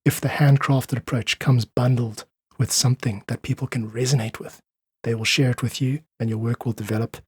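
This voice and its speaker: A man's voice: medium pitch, fairly even intonation, fairly quick, breathy edge, dry recording, studio quality. A South African man speaking earnestly and articulately as an interview guest, explaining his ideas in conversation. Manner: earnest, articulate, uses analogies. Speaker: podcast guest, independent musician.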